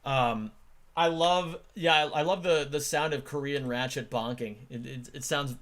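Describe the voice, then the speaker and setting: A man's voice: mid-range voice, normal speed, a bit bright. A man hosting a casual chat about a gaming video, talking with many ums and restarts and plainly admiring his guest's work. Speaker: host.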